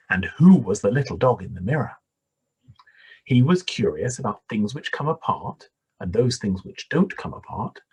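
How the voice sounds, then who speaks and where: A man reading a children's story aloud in an expressive, deliberate manner with dramatic pauses. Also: lowish voice, husky, poor audio. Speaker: storybook reader.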